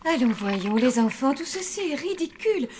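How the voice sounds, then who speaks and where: A woman's voice: sits high, big pitch swings, normal speed, darkish tone, a little hiss or hum. A woman voicing a firm, indignant zombie mother in a cartoon. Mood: reproachful. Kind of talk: animated series voice acting.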